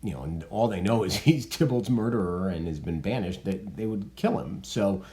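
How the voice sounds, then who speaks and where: A man teaching a literature lesson, explaining in a relaxed way with frequent ums and restarts. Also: deep voice, normal speed. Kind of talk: spoken literature lesson with commentary.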